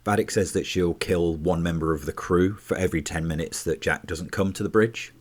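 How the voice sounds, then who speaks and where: A man with a very low, deep voice talks through a TV episode in a casual review. He sounds stuffy and congested, and his delivery is chatty and measured, with the odd restart.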